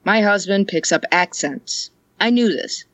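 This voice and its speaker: A woman's voice: mid-range voice, expressive, a little slow, fairly bright tone, no room sound. A woman chatting casually on a podcast, rambling and joking, laughing often. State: ill. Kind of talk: casual chat.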